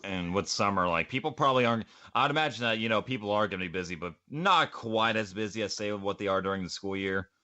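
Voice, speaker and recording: A man hosting a podcast in casual chat, rambling and repeating his phrases over a low-fidelity voice call. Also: lively intonation, quick pace, hardly any pauses, sharp tone, no echo. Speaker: podcast host. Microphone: voice call mic, close.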